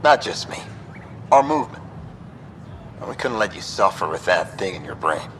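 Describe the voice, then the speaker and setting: An actor playing an eager, smug activist hacker in a video game, chatty and emphatic. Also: male voice, low voice, big pitch swings, moderate pace, a bit bright, slight background noise. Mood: smug, friendly. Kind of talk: video game dialogue acting.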